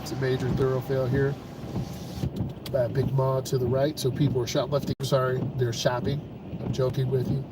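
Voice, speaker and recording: A man, a driving instructor with a congested, stuffed-up nose, calmly narrating each move as he drives through traffic. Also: mid-range voice. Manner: calm, unhurried, explains each move as he drives. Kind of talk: casual narration while driving. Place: inside a car in traffic. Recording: distant in-car mic over loud music. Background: loud music.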